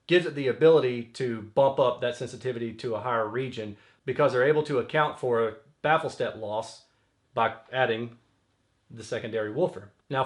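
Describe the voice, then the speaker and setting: A man hosting a product review, explaining technical details in a casual, conversational way. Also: mid-range voice. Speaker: audio reviewer and host.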